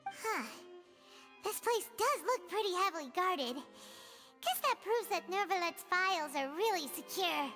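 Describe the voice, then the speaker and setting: A woman voicing a small, chirpy, childlike fairy companion girl in an anime-style video game, squeaky and exclamatory. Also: lively intonation, unhurried, heavily acted. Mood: curious. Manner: talks about self in third person, exclamatory. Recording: loud music under the voice.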